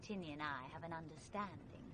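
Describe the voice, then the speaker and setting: An actress playing a plucky, prim young British country woman in a vintage radio drama, brisk and forthright. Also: sits low, lightly acted. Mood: frank, curious. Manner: brisk, prim, matter-of-fact. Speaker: actor in radio play. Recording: noisy vintage radio play recording.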